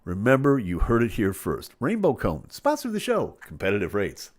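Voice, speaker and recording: A man hosts his weekly live stream with a slightly hoarse, froggy voice from a head cold. He chats casually and jokingly while reading viewer comments aloud, his pitch swinging widely and now and then jumping into loud, playful bursts. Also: normal speed. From Chicago area. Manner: jokey, playful, animated delivery with sudden loud bursts.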